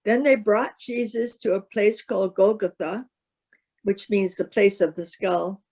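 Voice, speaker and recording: Female reader for scripted gospel narration, mid-range pitch. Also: fairly even intonation, unhurried, a little mellow.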